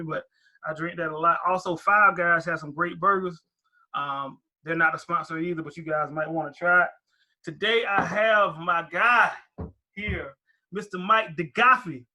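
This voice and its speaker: A man's voice: sits high, pushed and bright. A man hosting a podcast, chatting casually and joking with his guest. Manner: playful, joking banter with guest. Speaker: podcast host. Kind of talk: casual interview chat.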